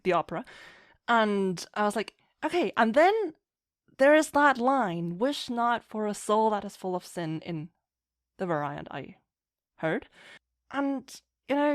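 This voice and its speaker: A woman's voice: medium pitch, dry recording, studio quality. A woman chatting casually as an interview guest, rambling enthusiastically and acting out her own thoughts as she goes. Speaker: podcast guest, fan fiction writer.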